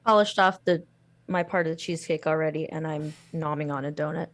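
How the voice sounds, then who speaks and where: A woman's voice: low-pitched, fairly even intonation, brisk. Chatty, playful woman player. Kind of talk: casual chat.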